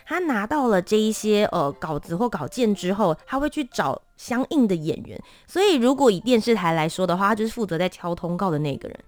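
A woman with a Taiwanese Mandarin accent hosts in a conversational style. Her medium-pitched voice moves with lively intonation, and she sounds attentive as she sums up and follows up. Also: a little fast, hardly any pauses, no echo. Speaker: interview host. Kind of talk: conversational interviewing.

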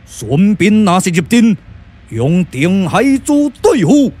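Bossy taiwanese warlord, puppet radio drama, big swooping slow delivery. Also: male voice, high voice, sing-song, dark tone, slightly rough, heavily acted. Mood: imperious, menacing. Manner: declamatory, commanding. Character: imperious male warlord commanding his battle array.

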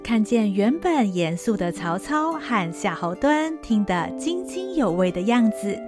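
A woman's voice: mid-range voice, sing-song, unhurried, music in the background. A woman with a Taiwanese Mandarin accent narrating a radio drama with vivid storytelling.

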